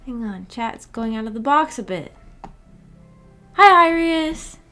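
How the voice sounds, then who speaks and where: Woman streamer, slightly high voice. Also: animated, slow, pushed and bright, soft background music. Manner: giggly, hesitant, repeats words. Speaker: streamer hosting a book club discussion. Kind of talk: casual livestream chat, reading and answering chat.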